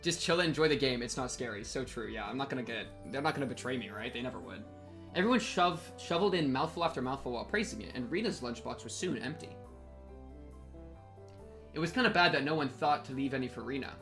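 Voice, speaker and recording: Young man, bright sharp tone, casual game commentary, slangy, faint music behind. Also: medium pitch, expressive, fast. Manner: goofy, slangy, chatty. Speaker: game streamer. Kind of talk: casual playthrough commentary and reading aloud.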